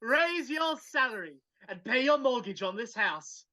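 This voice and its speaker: A woman's voice: a little high, big pitch swings, measured pace, sharp tone, full character voice. A woman playing a grumpy but generous old male miser in a live dramatic reading over a video call. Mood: generous, teasing. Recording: slightly dull video call audio.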